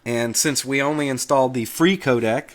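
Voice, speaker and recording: A man's voice: mid-range voice, moderate pace. A man hosting a casual tutorial, explaining things off the cuff in an informal, plain-spoken, folksy way. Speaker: host of a Linux tutorial video.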